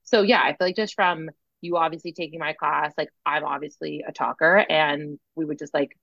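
A woman's voice: deep voice, steady tone, brisk, gravelly, fairly bright tone, no room sound. A young woman co-hosting a podcast, chatting casually with a scratchy voice worn from teaching, laughing often and saying "like" a lot. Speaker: podcast co-host, spin instructor. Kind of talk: casual chat. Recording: dull lo-fi sound.

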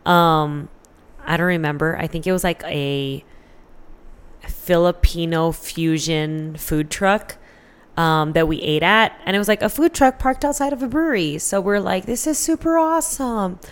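A woman's voice: on the low side, unhurried, clean tone. An American woman chatting casually as an interview guest, candid and informal, swearing freely as she tells personal stories.

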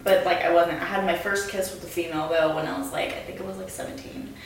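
A young woman with a deep, low voice co-hosts a casual chat and speaks bluntly and straight to the point. She sits back from the microphone, and the room rings with heavy echo. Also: steady tone, fast talker, a little hiss or hum. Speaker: podcast co-host. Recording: echoey room, mic at a distance.

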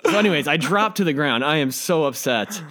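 A man's voice: fairly high pitch, expressive, brisk, bright, forceful tone. A young man co-hosting a podcast, telling a childhood story in a rambling, dramatic way. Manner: rambling, many fillers and restarts, dramatic. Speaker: podcast co-host. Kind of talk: casual storytelling chat.

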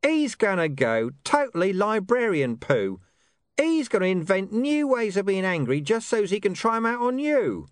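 Picture a cocky, sly street dog who can talk, yapping in a high, brash voice. The pitch sits far above a normal man's, and every line is pushed out loud and sharp. The reading is dry and close. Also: huge pitch swings, moderate pace, no echo. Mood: incredulous.

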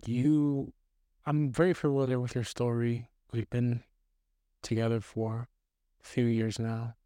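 A man's voice: medium pitch, level tone, unhurried, mellow, dry recording, clean studio sound. A man co-hosting a podcast, asking his partner long, probing, rambling questions. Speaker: podcast co-host. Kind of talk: casual podcast conversation.

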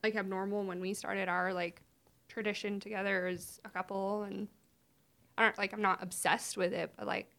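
A woman's voice: mid-range voice, little pitch movement, normal speed, vocal fry, fairly bright tone. A woman in her twenties, a podcast co-host chatting casually, bubbly and playful. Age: 20s. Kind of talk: casual chat.

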